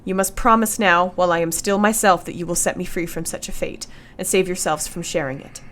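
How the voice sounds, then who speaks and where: An actress playing a brave, devoted young wife in a radio play, speaking solemnly and formally. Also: female voice, lowish voice, a little fast, a bit bright, a little hiss or hum, lightly acted. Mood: solemn pleading.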